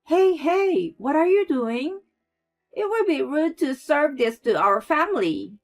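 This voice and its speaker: A nasty older mother-in-law shrieks high and loud, snapping out insults, as a woman narrator puts her on. Her voice climbs well above the teller's own and stays harsh and scolding. Faint music sits under the storybook reading. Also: lively intonation, slow pace.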